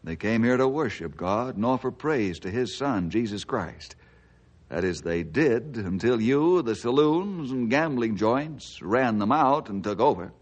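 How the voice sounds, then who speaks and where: An actor playing an earnest, righteous forest ranger hero with an authoritative radio drama delivery. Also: male voice, medium pitch, expressive, measured pace. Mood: firm, earnest. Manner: authoritative, declamatory. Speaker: lead ranger of a radio serial. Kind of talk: radio adventure drama acting.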